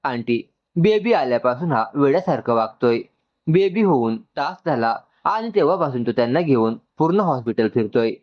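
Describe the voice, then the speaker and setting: A man's voice: mid-range voice, lively intonation, moderate pace, lo-fi sound, close to an everyday voice. A man voicing an irritated young woman in a narrated story, exasperated and complaining.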